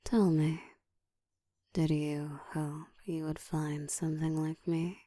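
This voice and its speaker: A woman voicing a seductive, dominant ancient vampire in an intimate ASMR roleplay, teasing and commanding, often slipping into a soft whisper. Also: flat delivery, slow pace, no echo. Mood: seductive, taunting. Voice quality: soft, breathy whispering mixed with low murmur.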